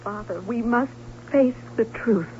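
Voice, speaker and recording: An actress playing an anxious, wistful young bride-to-be, speaking in a measured, melodramatic way in an old-time radio drama. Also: female voice, a little high, mellow, a little hiss or hum, natural voice. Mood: despairing. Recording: thin phone-like old radio recording.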